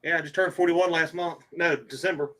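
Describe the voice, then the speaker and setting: A man's voice: on the high side, fairly even intonation, fairly quick, sharp tone. A man in his forties chatting casually with short, blunt remarks. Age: 40s. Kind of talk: casual chat.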